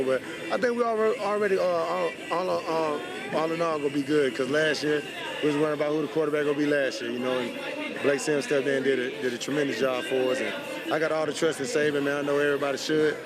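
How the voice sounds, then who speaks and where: A man giving a casual, halting, repetitive interview answer as an athlete. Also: fairly high pitch. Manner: halting, repetitive, peppered with "you know". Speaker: professional football player being interviewed. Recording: outdoor interview over busy crowd noise.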